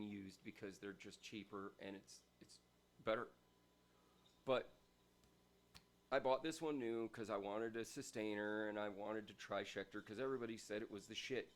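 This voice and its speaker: Guy with a big loud voice ranting about gear at home, medium pitch, goes off on tangents, punchy bits then mutters. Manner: emphatic, rambling, swings between loud bursts and quiet asides. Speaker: guitar reviewer. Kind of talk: casual ranting gear review.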